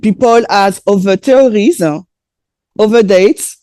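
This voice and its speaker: A woman with a French accent, French her first language, delivering a sermon in English with hesitant, filler-laden pauses while an interpreter follows her. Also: mid-range voice, warm tone. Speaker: presenter.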